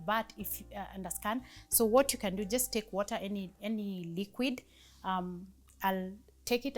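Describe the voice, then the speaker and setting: A woman guest explains her own health condition in a hesitant way, pausing on fillers as she goes. Her voice sits at a middle pitch, and faint music runs underneath. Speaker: guest sharing a personal health story. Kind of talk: demonstrating and explaining.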